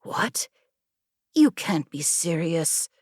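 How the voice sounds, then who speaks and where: A woman voicing a nervous, cautious young friend in a fantasy audiobook, anxious and hushed. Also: deep voice, very animated, drawn-out words, slightly rough, dry recording, whispered, studio quality, full character voice. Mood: disbelieving.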